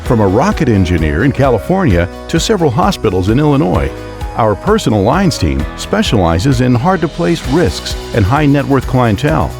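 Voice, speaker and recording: Brief: man, ad read, animated delivery, music bed. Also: medium pitch, normal speed. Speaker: ad announcer. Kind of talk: scripted radio ad read. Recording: ad read over clear music.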